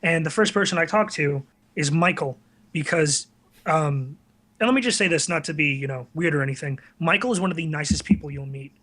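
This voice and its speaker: A man's voice: fairly high pitch, a little fast, sharp tone. A man talking casually as a podcast guest, telling candid, self-deprecating stories in a conversational way. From US tri-state area. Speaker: podcast guest, online video creator.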